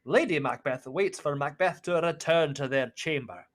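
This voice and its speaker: A man's voice: a little high, moderate pace. A man narrating a radio play in a plain storytelling manner.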